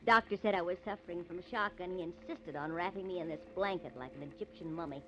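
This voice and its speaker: A woman's voice: on the low side, close to an everyday voice. An actress playing a plucky, sassy young woman who retorts breezily, in 1950s radio drama style. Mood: breezy. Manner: breezy, indignant, quick to retort. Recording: dull, noisy old radio recording.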